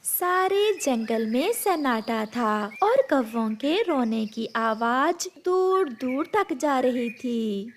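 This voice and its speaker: Slow expressive woman narrator. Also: sits high, a little hiss or hum. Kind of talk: storybook narration for a cartoon.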